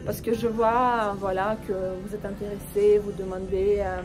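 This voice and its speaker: A woman's voice: medium pitch, little pitch movement, unhurried. Woman chatting outdoors over music. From Ukraine. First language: Russian. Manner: hesitant, many fillers and restarts, friendly. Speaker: French teacher and video creator. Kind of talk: casual personal chat to camera. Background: background music.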